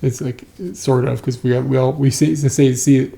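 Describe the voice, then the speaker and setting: A man's voice: mid-range voice, flat delivery, normal speed, vocal fry, mellow. A man with a slight lisp chatting casually and musing aloud while reacting to old travel footage. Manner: musing, self-questioning.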